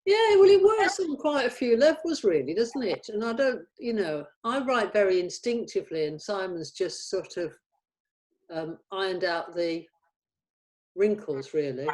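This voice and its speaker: A woman talking casually in a meeting as a writer, in a tentative, halting manner. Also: mid-range voice, lively intonation, darkish tone, low-quality recording.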